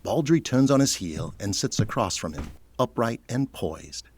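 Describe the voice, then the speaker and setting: A man's voice: medium pitch, lively intonation, moderate pace, a little mellow, a little hiss or hum. A man delivering scripted narration for an audio drama, setting each scene.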